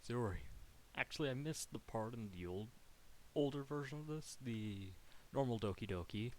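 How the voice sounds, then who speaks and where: A man's voice: mid-range voice, expressive, normal speed, darkish tone, slight background noise, rough recording. A male streamer with a slight Irish-sounding lilt, reading a game aloud live, giggly and rambling. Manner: giggly, rambling, self-interrupting. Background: game music.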